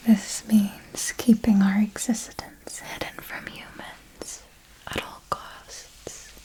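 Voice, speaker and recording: A woman voicing a seductive, menacing elder vampire mentor in a breathy, deliberate ASMR whisper, with possessive, condescending affection. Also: mid-range voice, little pitch movement, dark tone, natural voice, rough recording. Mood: solemn, instructive.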